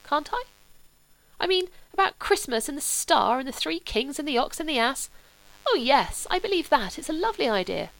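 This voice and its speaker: A whimsical, petulant young aristocrat, as a woman puts him on in a storybook reading. His voice sits high above the narrator's and lilts with breezy, teasing excitement. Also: normal speed, gravelly, full character voice, low-quality recording. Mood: eager.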